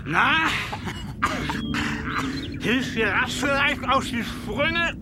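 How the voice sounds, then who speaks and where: A man's voice: extremely high pitch, lively intonation, very noisy, full character voice. A man playing a taunting, falsely polite Western villain with a gun in a German radio play, drawing out his mockery. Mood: gloating menace. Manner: mocking, drawn-out, falsely polite. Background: music.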